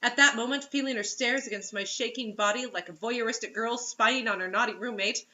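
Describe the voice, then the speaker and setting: A woman's voice: on the high side, fairly quick, pushed and bright, muffled recording. A woman giving a theatrical, giggly, mocking read-aloud of amateur fiction, with asides. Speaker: amateur reader of bad fiction.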